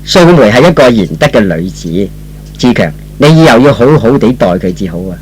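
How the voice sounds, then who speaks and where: Man voicing older father, approving, earnest, old radio drama, very varied pitch, faint hum. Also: big pitch swings, brisk, a little mellow, low-quality recording.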